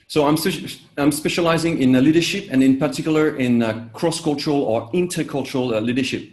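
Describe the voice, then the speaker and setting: Halting man with many fillers, echoey room. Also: on the high side, darkish tone. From Vietnam. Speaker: executive coach. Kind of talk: interview answers.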